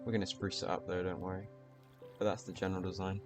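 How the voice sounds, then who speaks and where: A teenage man speaking calmly and reflectively, commenting over his gameplay. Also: low-pitched, fast. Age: teens. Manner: soft-spoken, reflective. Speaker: YouTuber. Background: faint music.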